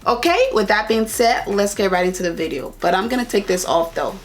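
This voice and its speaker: A woman's voice: mid-range voice, brisk, soft background music. A young adult woman with a Liberian accent, chatting casually and directly to her viewers as a vlogger. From Liberia, raised partly in Ivory Coast. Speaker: vlogger answering viewer questions. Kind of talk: casual chat to camera.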